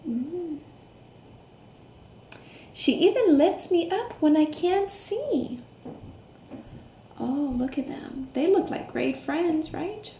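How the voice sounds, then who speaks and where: A woman reading a picture book aloud to children, expressive, with questions, gasps, hums and hushed asides. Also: high voice, takes it slowly, long pauses. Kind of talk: interactive children's read-aloud with questions to young listeners. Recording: roomy echo, faint background noise.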